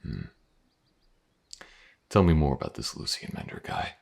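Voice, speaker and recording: A man playing a gruff, guarded but protective mercenary in a fantasy audio roleplay, halting and dry in his delivery. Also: big pitch swings, full character voice. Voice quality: very deep gravelly husky.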